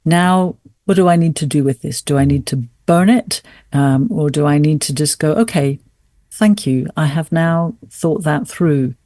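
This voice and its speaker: A woman speaking as an interview guest, explaining ideas in an articulate, measured and thoughtful way with emphatic pauses. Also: low-pitched, warm tone, no echo, clean studio sound. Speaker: writer and podcast guest.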